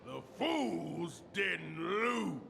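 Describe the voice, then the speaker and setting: A man voicing a manic, ranting little creature in a video game, theatrical and prone to shouting. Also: sits high, very animated, creaky, noisy background, exaggerated voice. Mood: ranting, excitable. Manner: theatrical, shouting, erratic drawn-out delivery. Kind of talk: video game character acting.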